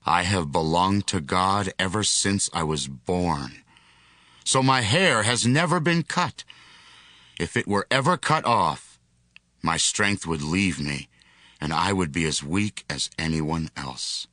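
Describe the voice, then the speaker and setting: A mighty, proud strongman, voiced by a man in a scripture drama. He speaks low and slowly, with a bright, forceful edge and a lively, swooping delivery, recorded dry and close. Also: no room sound, heavily acted. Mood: solemn.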